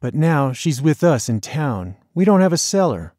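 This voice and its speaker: A man's voice: a little high, big pitch swings, normal speed, a little mellow, no room sound, clean studio sound, pitched above the performer's own voice. A man voicing a bossy, mischievous, teasing boy in an audiobook, with a taunting, mock-dramatic manner. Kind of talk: audiobook character dialogue.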